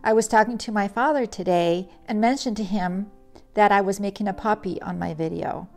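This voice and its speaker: A middle-aged woman reads a craft lesson's voice-over in a measured, earnest way. Her voice carries a gravelly, fry-edged texture at a mid pitch, with quiet instrumental music underneath. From Poland. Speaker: resin craft tutor. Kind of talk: read voice-over narration.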